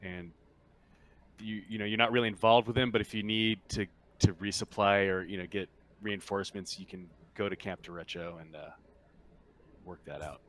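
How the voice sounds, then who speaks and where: Low-voiced man running a tabletop game. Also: fairly even intonation, a bit bright. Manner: halting, informal explanation with many pauses. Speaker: game master. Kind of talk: briefing players in a tabletop roleplaying game.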